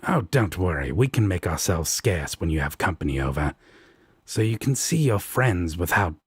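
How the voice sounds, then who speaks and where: A man playing a sardonic, protective and affectionate devil roommate in a romantic audio roleplay, with a deep husky voice and blunt, coaxing talk. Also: big pitch swings, moderate pace, dry recording, clean studio sound, exaggerated voice. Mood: reassuring.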